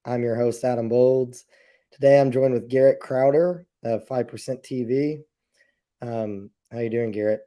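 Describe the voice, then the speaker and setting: A man's voice: mid-range voice, steady tone, normal speed, warm tone. A male podcast host who is losing his voice, asking easygoing questions in casual chat.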